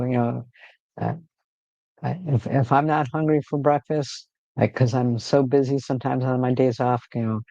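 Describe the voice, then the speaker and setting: Gravelly-voiced man chatting on a livestream. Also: mid-range voice, dry recording, muffled recording. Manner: rambling, relaxed, frequent fillers and restarts. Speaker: livestream host. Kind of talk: casual livestream chat.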